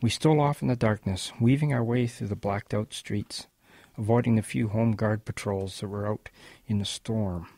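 Gravelly old grandfather. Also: fairly low pitch, steady tone, warm tone, no room sound. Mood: nostalgic, mysterious. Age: older. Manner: measured, solemn reminiscence. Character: reflective old grandfather recalling his wartime boyhood. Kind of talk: narrated radio drama storytelling.

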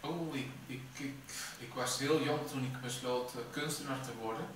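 A middle-aged man, an artist answering interview questions in a halting way, searching for words. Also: mid-range voice, steady tone, fairly bright tone. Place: indoor art gallery with bare floor. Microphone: camera a few metres away. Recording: echoey room, distant mic, clear background noise.

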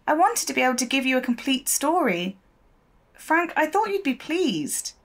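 An actress playing an earnest, stubborn, idealistic newspaper reporter in an audio drama, speaking defensively. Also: female voice, high-pitched, big pitch swings, moderate pace.

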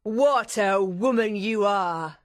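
A woman voicing an eager, anxious female revolutionary follower in a storybook reading, gushing and excitable. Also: medium pitch, slow, no echo, clean studio sound, close to an everyday voice. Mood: admiring.

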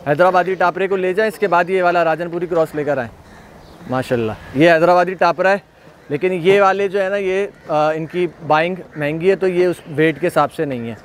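A man's voice: sits high, moderate pace, slight background noise. A man hosting an on-site walkthrough of livestock, chatting casually and repeating praise often. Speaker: livestock video host. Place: outdoor goat pen at a farm.

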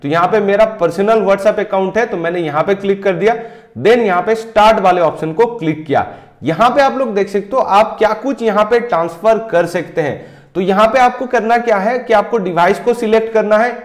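A man in his thirties walking viewers through a software tutorial step by step, speaking directly to them. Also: high-pitched, echoey room. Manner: steady step-by-step explaining, addresses viewers directly. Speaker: tech tutorial host. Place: indoor room, screen-recording overlay.

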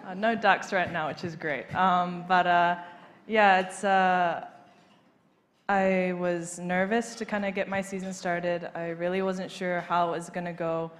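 Soft-voiced young woman, filler-heavy. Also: fairly low pitch, a little slow. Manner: relaxed, many fillers, light laughs. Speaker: golfer being interviewed on stage. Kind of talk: casual interview. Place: large banquet hall stage. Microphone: handheld mic. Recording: reverberant hall, faint noise.

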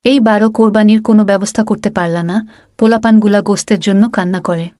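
A woman's voice: mid-range voice, fairly even intonation, fast, mellow, no echo, clean studio sound, natural voice. A woman playing a fed-up animal wife in a cartoon, scolding and complaining. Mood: exasperated. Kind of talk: Bengali cartoon dialogue.

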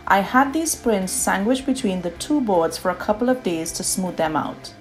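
A woman with a Trinidadian accent narrates a DIY project in a calm, personal voice-over. Her voice sits in a middle register and she explains each step plainly. Light music plays faintly beneath her. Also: medium pitch, normal speed. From Trinidad and Tobago. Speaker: DIY home decor creator.

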